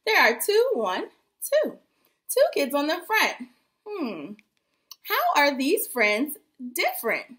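A young woman teacher reading aloud to small children in a rhythmic, sing-song rap, animated and encouraging. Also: sits high, slow pace, long pauses. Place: small plain room indoors. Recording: faint background noise.

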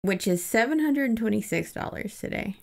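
Gravelly-edged woman podcast co-host. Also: fairly high pitch, expressive, fairly quick. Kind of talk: casual book discussion podcast chat.

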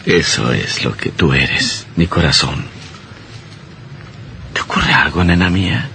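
An actor playing an earnest young doctor, a devoted and reassuring husband, in a melodramatic radio drama. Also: male voice, low voice, animated, takes it slowly, bright, forceful tone, slight background noise, heavily acted. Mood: tender. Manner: earnest, affectionate.

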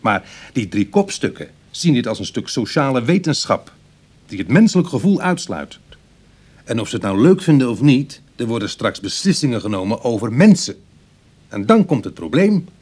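An actor playing a wry, sceptical ex-burglar in a radio drama, husky and brooding, with hesitant conversational delivery. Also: male voice, medium pitch, sing-song, normal speed, darkish tone. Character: wry, sceptical male ex-burglar on a prison committee. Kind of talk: Dutch radio drama acting.

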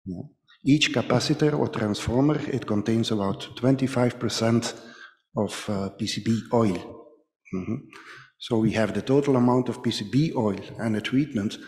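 A middle-aged man with a Belgian accent giving a conference presentation in halting English. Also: mid-range voice, a little mellow. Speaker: conference speaker, company manager. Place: large ornate hall, indoors. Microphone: podium microphone. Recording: echoey hall with long reverb.

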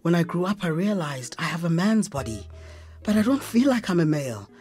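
Gentle, weary South African woman, low register, radio drama, faint music underneath. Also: very animated, fairly quick. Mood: earnest.

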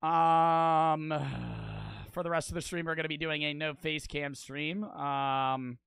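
A man in his twenties streaming a game on a headset mic, excitable and swearing, with his voice cracking from wear. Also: fairly high pitch, slow, clear, resonant tone, dry recording, studio quality. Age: 20s. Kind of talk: livestream gaming commentary. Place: small bedroom streaming setup. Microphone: headset mic on boom arm.

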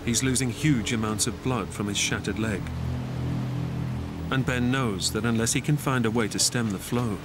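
Man, documentary narrator, dramatic scripted delivery, medium pitch, loud music behind. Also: moderate pace.

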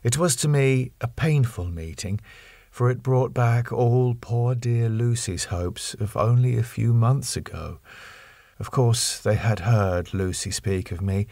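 A man's voice: mid-range voice, measured pace, vocal fry, warm tone, no echo. A man narrating a classic novel as an audiobook reader.

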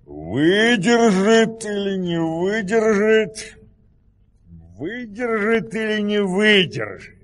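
An eccentric high-voiced storybook helper. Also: male voice, big pitch swings, drawn-out words, in a large hall, over music, heavily acted. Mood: absorbed, casual. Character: eccentric fairy-tale strongman or listener, absorbed, eager. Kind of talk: theatrical audio-play acting.

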